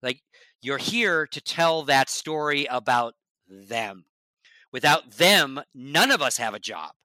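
A man talking shop in a casual podcast chat as a guest from esports broadcasting. He is blunt and emphatic, swears, and often sinks to a low, quiet voice. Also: expressive, slow pace, dry recording, poor audio.

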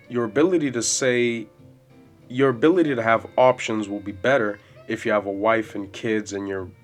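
A man in his twenties hosting a solo podcast, rambling casually and swearing freely in a loud voice with bass. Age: 20s. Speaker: podcast host. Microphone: podcast mic. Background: soft jazz music.

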